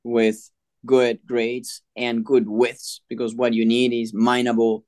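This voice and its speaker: A man, a mining company chairman answering interview questions in a measured way with frequent filler pauses. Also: mid-range voice, level tone, darkish tone, no echo, clean studio sound. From Peru. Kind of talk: podcast interview.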